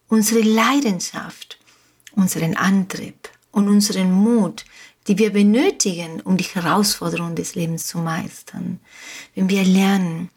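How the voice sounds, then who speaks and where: Slow Spanish-accented woman, husky voice. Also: mid-range voice. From Uruguay. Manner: slow, repetitive, emphatic, inspirational. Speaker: podcast host, coach. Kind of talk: motivational podcast monologue. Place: home studio on an island.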